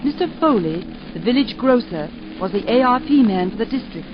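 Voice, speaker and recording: A woman's voice: a little high, lively intonation, fairly quick, noisy background. A woman narrating her family's story and playing the mother in a 1940s radio drama. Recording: dull 1940s radio broadcast with music.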